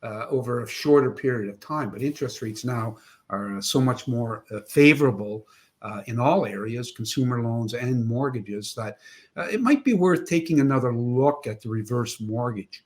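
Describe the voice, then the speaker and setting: An older man in his sixties hosts the interview from his home office over a video call, his voice sitting at an ordinary middle pitch. He keeps things friendly and chatty, tossing in joking asides between questions. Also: animated, moderate pace, darkish tone. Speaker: show host. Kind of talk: casual interview hosting. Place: home office with framed certificates, indoors.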